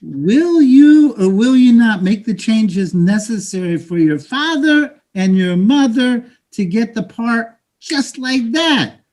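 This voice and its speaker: A man plays a middle-aged immigrant father in a staged play reading. He is proud and scheming, speaks slowly, and presses his case with emphatic pleading. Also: very high voice, lively intonation, a little mellow, heavily acted. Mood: demanding.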